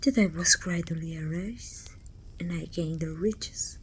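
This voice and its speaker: A woman playing a proud, commanding evil queen in an intimate ASMR roleplay, speaking softly and often near a whisper in a measured, regal way. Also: fairly low pitch, a little hiss or hum. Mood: cold, resolute. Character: haughty evil queen, proud sorceress ruler, confiding and commanding. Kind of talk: ASMR roleplay acting, intimate monologue to a servant.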